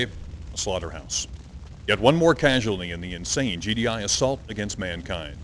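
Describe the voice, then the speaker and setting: An actor playing a solemn propaganda news reporter delivering a theatrical, melodramatic field report in a video game. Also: male voice, lowish voice, slight background noise, natural voice. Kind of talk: dramatic television news report.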